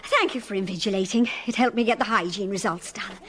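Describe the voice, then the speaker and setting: An actress playing a brisk, chatty woman on a college staff, speaking in an animated, conversational way in a radio drama. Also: mid-range voice, natural voice. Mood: brisk, amused.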